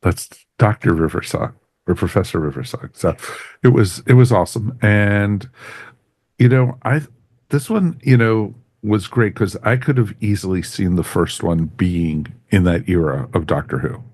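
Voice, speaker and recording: A man's voice: low-pitched, moderate pace, a little mellow, no echo, studio quality. A man hosting a podcast, giving a casual review in a rambling way that often circles back on phrases. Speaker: podcast host.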